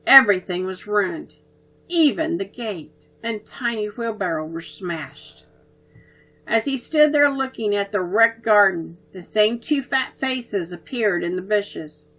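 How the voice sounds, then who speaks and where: Slow, gentle woman storyteller. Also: mid-range voice, rough recording. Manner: slow, gentle, expressive reading. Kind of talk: children's story reading.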